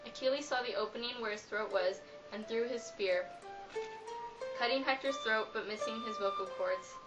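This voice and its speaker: A woman narrates a scripted tale in a voice on the high side. The recording is dull and lo-fi, with music clearly playing behind her. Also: moderate pace.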